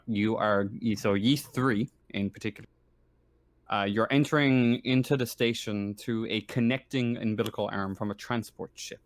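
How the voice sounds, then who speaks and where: Low, clear-voiced male game master. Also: dry recording. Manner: halting, often rephrasing himself. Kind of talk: improvised tabletop game narration.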